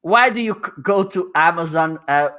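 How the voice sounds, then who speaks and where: A man's voice: a little high, animated, normal speed, bright, forceful tone. A man hosting a live stream, chatting and teasing his guest, laughing often and reading out viewer comments. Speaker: host.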